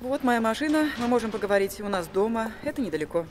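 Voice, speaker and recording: An actress playing a composed, guarded middle-aged widow who speaks in a controlled, terse way. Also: female voice, on the high side, expressive, quick pace, background noise, close to an everyday voice. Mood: composed, polite.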